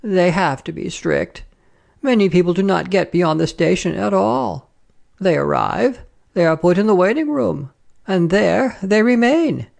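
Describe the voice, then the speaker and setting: A woman's voice: medium pitch, a little slow, creaky, pitched above the performer's own voice. A woman voicing a prim, nosy, smugly triumphant Frenchwoman from a story, with an insinuating, emphatic delivery. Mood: smugly solemn.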